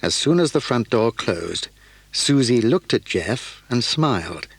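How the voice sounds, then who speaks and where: A man narrating a children's radio play with expressive, measured delivery. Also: mid-range voice, creaky, husky, no room sound. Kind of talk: scripted storybook narration.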